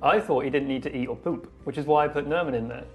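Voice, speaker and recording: A man's voice: mid-range voice, fast talker, faint music behind. A man playing in a tabletop game, chatting casually and playfully. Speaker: player.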